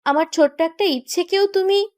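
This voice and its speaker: A woman's voice: very high-pitched, fairly quick, darkish tone. A woman playing a petulant, stubborn young bride in a romantic audio story, with a sulky, reproachful way of talking. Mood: hurt, sulky.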